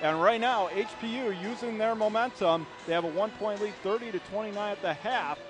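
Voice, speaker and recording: A man's voice: high-pitched, fairly quick, over music. A man doing live radio play-by-play and commentary on a basketball game from an indoor arena.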